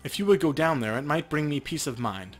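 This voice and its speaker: Man reading as a courteous, concerned woman, measured. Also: on the high side, lively intonation, soft background music. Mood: calm concern.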